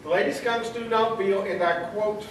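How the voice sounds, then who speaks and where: Man playing a high-voiced, officious lodge secretary. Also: steady tone, moderate pace, a little hiss or hum, close to an everyday voice. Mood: officious, jokey. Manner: officious, folksy, awkwardly jokey. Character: earnest, corny male lodge secretary. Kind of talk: comic radio theatre acting. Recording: very echoey hall, distant mic.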